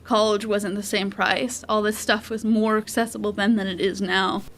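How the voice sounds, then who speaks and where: Young woman, medium-pitched voice. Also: level tone, moderate pace, a bit bright. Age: 20s. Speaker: interviewee. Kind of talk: casual interview answers.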